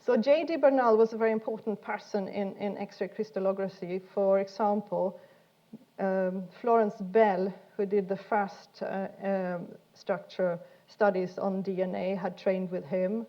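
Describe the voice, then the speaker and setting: A woman's voice: mid-range voice, fairly even intonation, a little slow, dull audio. A woman giving an informal science lecture in an explanatory, hesitant way, often repeating words as she goes. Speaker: speaker giving a talk.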